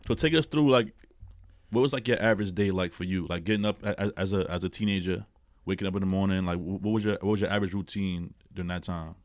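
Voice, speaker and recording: A man's voice: deep voice, fast, no echo. A man hosting a podcast, asking questions in a laid-back way and leaning on filler phrases. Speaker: podcast host. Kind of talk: casual interview.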